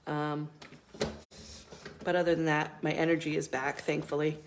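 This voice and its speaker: A woman's voice: low voice, level tone, normal speed, noisy background. Stuffy-nosed woman chatting while crafting. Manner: chatty, talks while working, many ums. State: stuffy, recovering from a cold. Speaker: craft video host. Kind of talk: casual live crafting chat.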